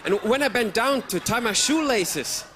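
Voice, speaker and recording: A man, performing stand-up comedy for a live audience with lively outbursts. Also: very high voice, normal speed, slight background noise. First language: German. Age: 30s. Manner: animated, with sudden loud outbursts and put-on voices. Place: stage before a live audience.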